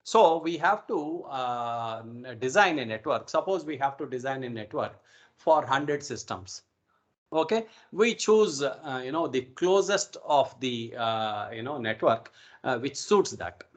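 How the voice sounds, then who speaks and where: A man's voice: medium pitch, expressive, measured pace. Indian-accented man teaching an online class. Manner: instructive, repeatedly checks with "okay" and "right". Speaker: instructor. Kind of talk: informal online networking lecture. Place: online video call recording.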